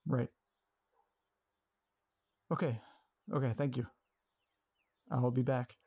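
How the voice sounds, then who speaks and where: A man's voice: mid-range voice, steady tone, takes it slowly, breathy edge, no echo, lo-fi sound. An actor playing an anxious, awkward young man who hesitates and repeats himself in an audio drama. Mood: nervous.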